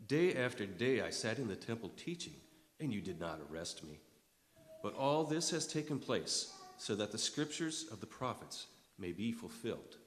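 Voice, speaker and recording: A man performs a grave religious teacher in a staged scripture reading. The voice sits low and solemn, resigned in temper. It rings through an echoing hall with long reverb. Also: very animated, normal speed, a little hiss or hum. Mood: firm, reproving. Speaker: reader in a church readers' theater.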